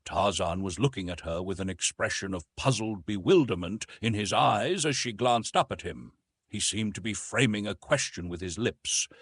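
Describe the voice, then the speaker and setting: A man reading an adventure novel as an audiobook narrator, in a measured, literary and expressive manner. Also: lowish voice, breathy edge, no room sound.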